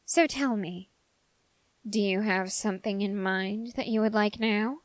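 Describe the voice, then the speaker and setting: A woman plays an ambitious young man with a dark heart in an intimate ASMR roleplay. The delivery is slow and formal, full of deliberate pauses. The sound is dry and close, with no room around it. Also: mid-range voice, dry recording, lightly acted. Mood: scheming, bargaining. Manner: deliberate, halting, formal phrasing with drawn-out pauses. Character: ambitious, dark-hearted young male human bargaining with a demon.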